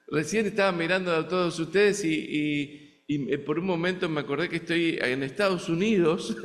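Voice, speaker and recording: Man, Argentine accent, sermon, high-pitched, conversational preaching, rhetorical questions, long church-hall reverb. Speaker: guest preacher. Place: church sanctuary in the United States.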